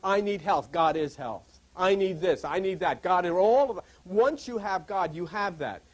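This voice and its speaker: A man's voice: sits high, animated, normal speed. A man giving an impassioned metaphysical sermon as a spiritual teacher, exhorting conversationally and repeating phrases for emphasis.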